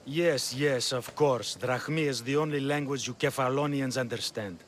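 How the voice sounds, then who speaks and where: A man's voice: mid-range voice, moderate pace, a little hiss or hum, close to an everyday voice. Man playing a pompous, wealthy old exile. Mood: smug. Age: older. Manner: pompous, smug, condescending. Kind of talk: video game cutscene acting.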